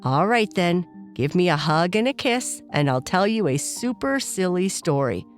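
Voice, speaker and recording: Woman voicing a gentle, patient papa bear. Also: fairly low pitch, animated, moderate pace, faint music behind, natural voice. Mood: reassuring. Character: gentle, patient father bear, loving and playful.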